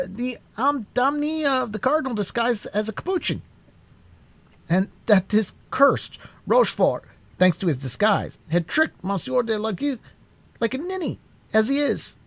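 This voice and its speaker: A man putting on a high-voiced gossiping musketeer. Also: normal speed, gravelly, no room sound, exaggerated voice. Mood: eager gossip. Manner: high, excitable telling of news. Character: gossiping young male musketeer, eager.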